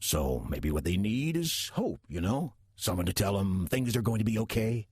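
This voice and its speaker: An actor playing a genial, wry old demon who passes as a folksy small-town churchgoer in an audio drama, with a husky, drawling voice. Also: male voice, lowish voice, very animated, moderate pace, darkish tone, no echo, studio quality. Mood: folksy, musing. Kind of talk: audio drama dialogue and narration.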